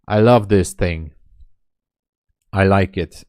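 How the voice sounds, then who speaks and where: Deep-voiced man chatting on a live stream. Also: slow pace, a little mellow, clean tone, no room sound, studio quality. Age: 30s. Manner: chatty, answers viewer comments; candid and self-deprecating. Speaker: day trader streaming live. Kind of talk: casual livestream chat.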